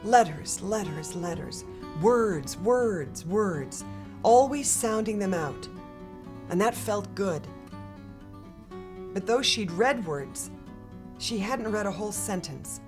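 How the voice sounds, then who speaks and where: A woman reading a children's picture book aloud in a gentle, expressive storytelling manner. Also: medium pitch, takes it slowly, pauses often. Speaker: storybook reader. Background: soft guitar music.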